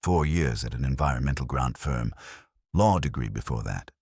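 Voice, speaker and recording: A cool, guarded professional woman in a detective story, voiced by a man, speaking in a very deep register. The delivery is composed and precise, with lively pitch movement in a dry recording. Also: normal speed, husky, dry recording, full character voice. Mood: composed, businesslike. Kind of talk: detective audiobook character dialogue.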